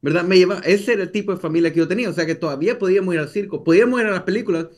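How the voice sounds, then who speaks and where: A man's voice: high voice, very fast. A man with a Salvadoran accent telling his story as a podcast guest, repeating phrases and adding tag questions. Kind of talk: conversational personal storytelling.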